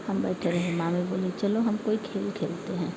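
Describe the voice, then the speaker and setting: A woman reading a first-person story aloud in a halting way, stumbling and correcting herself as she goes. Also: on the low side, steady tone. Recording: clearly noisy ambient background.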